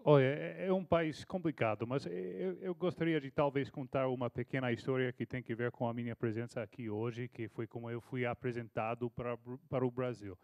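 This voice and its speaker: A man's voice: mid-range voice, steady tone, brisk, few pauses, darkish tone, no echo, lo-fi sound. American man speaking learned Portuguese, hesitant. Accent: American English. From Texas, United States. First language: English. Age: 30s. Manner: hesitant, restarts often, storytelling. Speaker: panel speaker, foreign correspondent. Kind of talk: panel talk answering a question. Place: auditorium at a university panel.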